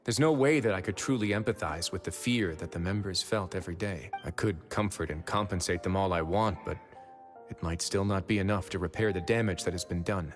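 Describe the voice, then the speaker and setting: An actor playing a composed, dryly menacing prison warden duke in a fantasy game, calm and wry. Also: male voice, low-pitched, fairly quick, lightly acted. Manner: composed, wry, quietly threatening. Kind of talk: game character voice acting. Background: game music.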